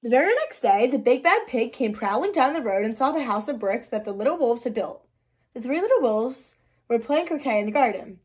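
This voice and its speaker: A woman narrating a children's storybook aloud in an expressive, deliberate storytelling manner. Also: on the high side, breathy edge. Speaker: read-aloud host.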